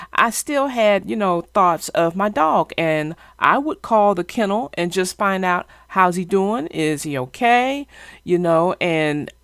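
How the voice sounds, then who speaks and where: A woman hosting a children's story discussion, talking conversationally in an expressive, emphatic way. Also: mid-range voice, no echo, clean studio sound. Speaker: host of a children's story channel.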